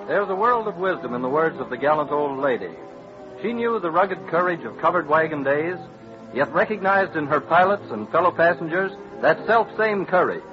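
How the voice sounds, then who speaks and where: High-voiced, oratorical American radio narrator man. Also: lively intonation, moderate pace. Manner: stirring, solemn oratory. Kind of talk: grand 1930s radio narration. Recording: muffled 1930s radio recording. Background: music.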